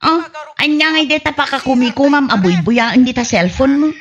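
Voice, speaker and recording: A woman's voice: high voice, lively intonation, normal speed. A woman playing a gossip-loving, defiant village wife in a comedy radio drama, with exaggerated whiny retorts, on muffled audio. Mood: casual, chatty.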